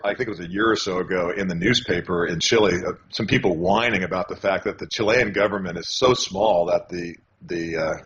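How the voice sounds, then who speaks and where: Deep-voiced older American man. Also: a bit bright. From California, USA. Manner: halting, many restarts and fillers. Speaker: guest. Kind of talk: casual interview answers. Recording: dull lo-fi call audio with faint noise.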